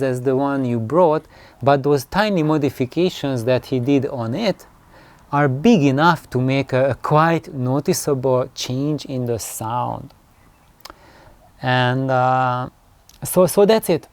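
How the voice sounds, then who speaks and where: A man explains things informally in a mid-pitched voice. His delivery is hesitant and halting, with words repeated as he finds his way. The sound is dry and close, with little room in it. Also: a little mellow, no echo. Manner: acts out imagined dialogue. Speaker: loudspeaker designer.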